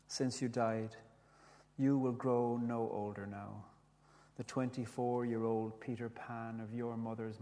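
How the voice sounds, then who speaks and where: Reflective Irish man in a reverberant hall. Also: mid-range voice. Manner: gentle, reflective, with frequent restarts. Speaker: speaker, poet. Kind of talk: reflective storytelling talk and poetry reading.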